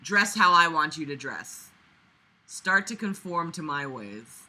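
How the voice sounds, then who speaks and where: A woman's voice: low voice, sing-song, a little slow, sharp tone, pitched below the performer's own voice, full character voice, low-quality recording. A woman voicing an arrogant, sullen teenage boy from a story in a mocking, melodramatic way. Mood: smug.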